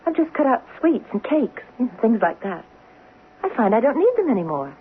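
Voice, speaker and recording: A woman's voice: fairly high pitch, very animated, fairly quick. An actress playing an anxious, trusting young wife in her late twenties in a 1970s radio drama, in dialogue and first-person narration. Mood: relieved, grateful. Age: late 20s. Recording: muffled narrow-band vintage radio, faint hiss.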